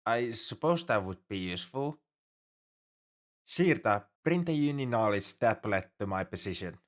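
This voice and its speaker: A man's voice: on the low side, fairly bright tone. A man playing an anxious, overprotective alien crewmate in an audio roleplay, speaking formally and earnestly in a fretful, easily flustered way. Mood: doubtful, confused. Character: anxious, paranoid male alien crewmate fussing over human. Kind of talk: ASMR roleplay audio drama.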